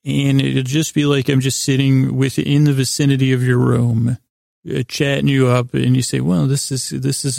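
Guy w really gravelly creaky voice, dry close sound, rambling sleepy-time podcast host, keeps losing his thread. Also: mid-range voice, warm tone, no room sound. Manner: meandering, halting, digressive, self-deprecating; acts out imagined back-and-forth dialogue in his own voice. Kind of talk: rambling improvised bedtime podcast monologue.

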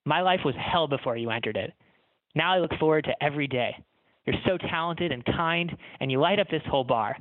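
A man's voice: on the high side, a little fast, dry recording, narrow, tinny audio, natural voice. A man voicing a kindly, tender bartender, earnest and reassuring, in a comic story. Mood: earnest, pleading.

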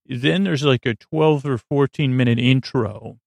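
A man hosting a sleep podcast, rambling in a soothing, creaky drawl that wanders off topic and interrupts itself with wry asides. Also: mid-range voice, measured pace, a little mellow, no echo. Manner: meandering, self-interrupting, wry. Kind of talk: rambling late-night monologue.

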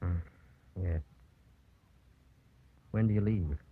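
A man's voice: low voice, very slow, slightly rough, heavily acted. An actor playing a subdued, unhappily married man of politics in a 1950s radio drama. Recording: tinny, noisy, crackly vintage radio recording.

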